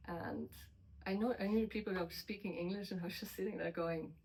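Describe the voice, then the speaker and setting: A woman's voice: mid-range voice, fairly even intonation, fast, darkish tone, poor audio. A woman with an American accent, a guest in a casual interview, telling stories in a chatty way with quoted asides and restarts.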